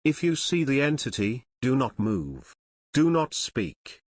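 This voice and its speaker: A man reading a scripted emergency announcement as a broadcast announcer in a formal, measured manner. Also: medium pitch, sing-song, a little mellow, dry recording, clean studio sound.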